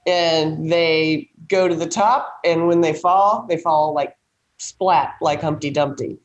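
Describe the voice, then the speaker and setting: A woman with an American accent and a slightly low-pitched voice talks as a panelist. She is blunt and salty, using folksy turns of phrase in casual, opinionated chat. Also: steady tone, slow pace, highs cut off, slight background noise.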